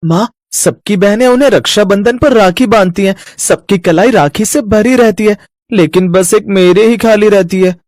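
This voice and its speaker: A wistful, affectionate schoolboy acted by a man in a cartoon moral tale. His voice is very high and he talks in an earnest, childlike way. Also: normal speed, a little mellow, no echo, clean studio sound, natural voice. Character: wistful, affectionate young schoolboy longing for a sister.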